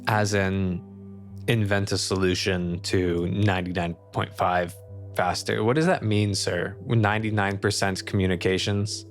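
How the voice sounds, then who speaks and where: A man playing a weary, annoyed colony engineer in a tabletop game, speaking in a blunt, halting, grudging way. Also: low-pitched, steady tone, soft background music. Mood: wary. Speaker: non-player character in a tabletop game.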